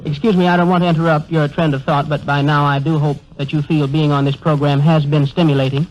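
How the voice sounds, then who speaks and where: Man acting wordy straight man, higher-pitched male voice, courteous and insistent, 1930s radio comedy, muffled lo-fi broadcast. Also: flat delivery, fast, close to an everyday voice. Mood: polite, persistent. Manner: polite, formal phrasing, rewording questions.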